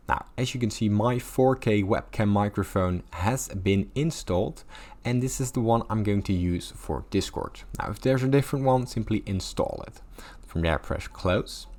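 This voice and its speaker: A man with a fairly low voice hosts a how-to software tutorial. He speaks in an instructional manner, walking the listener through one step after another as scripted narration. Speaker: tutorial host.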